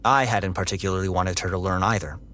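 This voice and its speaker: An actor playing a guarded, socially averse security android who tells its story in a dry, sarcastic, deadpan way. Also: male voice, deep voice, fast talker, slight background noise. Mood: dry, matter-of-fact. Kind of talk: first-person audiobook narration and dialogue.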